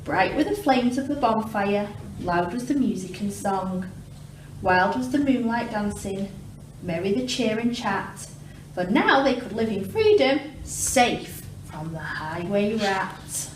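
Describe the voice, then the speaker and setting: A woman reading a rhyming children's storybook aloud like a primary teacher, in an expressive, measured way. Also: mid-range voice. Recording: roomy, distant mic with clear background noise.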